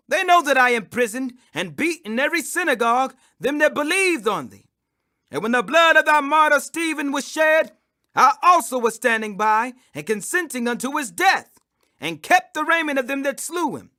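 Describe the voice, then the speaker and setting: A man delivers a dramatic scripture reading in a very high register. His tone is bright and forceful, and he declaims in a preacher-like way, drawing out the stressed phrases for emphasis. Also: animated, normal speed. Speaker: scripture reader.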